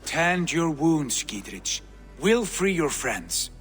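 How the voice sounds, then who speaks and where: An actor playing a proud, grandiose Viking lord in a video game, speaking with lordly command. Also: male voice, on the high side, sing-song, slow, faint music behind. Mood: resolute. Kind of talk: video game cutscene acting.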